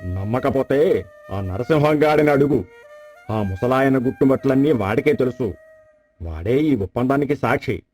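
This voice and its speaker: An actor playing a scheming rival landlord, the villain of a folk tale, insinuating and persuasive. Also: male voice, medium pitch, animated, normal speed, darkish tone, soft background music, close to an everyday voice. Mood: sly, persuasive. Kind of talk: dramatized folk tale acting.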